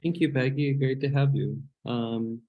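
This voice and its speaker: A man hosts a seminar over a video call. He speaks hesitantly, often pausing with fillers and apologizing. His voice sits at an ordinary middle pitch. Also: steady tone, phone-quality sound. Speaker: seminar host. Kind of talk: online seminar introductions.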